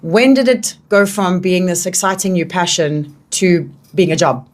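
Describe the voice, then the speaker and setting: A woman's voice: fairly low pitch, animated, unhurried. A woman, interviewing a guest casually as a live radio host. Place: radio studio.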